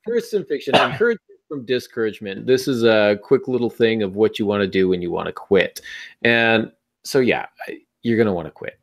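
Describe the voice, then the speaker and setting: A man's voice: on the low side, animated, fairly quick, creaky. A man hosting a podcast in a casual, conversational way, with wry asides as he talks about writing. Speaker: podcast host.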